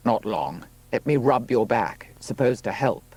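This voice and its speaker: A man voicing a practical, gruffly tender countryman in an audiobook story, firm and urging. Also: medium pitch, lively intonation, normal speed, a little mellow. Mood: urging, reassuring. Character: steady, gruffly tender countryman helping at a birth.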